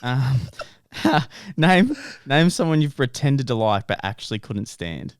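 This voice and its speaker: A young man co-hosting a podcast, easygoing and quick to laugh, reading out questions in casual chat. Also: medium pitch, very animated, no echo, studio quality. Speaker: podcast co-host. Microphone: studio podcast mic.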